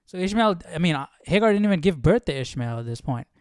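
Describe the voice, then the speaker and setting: A man's voice: a little high, expressive, quick pace, a little mellow, clean tone, no room sound, studio quality. A man hosting a Bible study stream, chatting casually and musing with questions as he thinks aloud. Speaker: livestream host of a Bible study.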